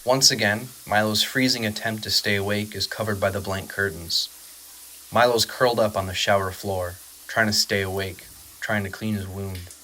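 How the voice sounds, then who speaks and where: A man narrates a horror audio drama in a dramatic, measured storytelling style. His voice is on the low side, with a bright, tense edge. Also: fairly even intonation.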